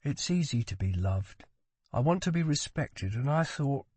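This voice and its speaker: Man voicing a petulant young prince, high-pitched. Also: very animated, moderate pace, no room sound. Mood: vulnerable, ashamed. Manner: scornful, dramatic, demanding. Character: petulant wounded young prince, playful yet guilt-ridden.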